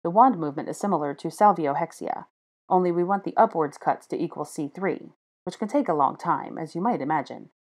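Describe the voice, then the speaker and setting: A woman voicing a composed, posh young man, measured and formal in delivery. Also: on the low side, a little mellow. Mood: instructive.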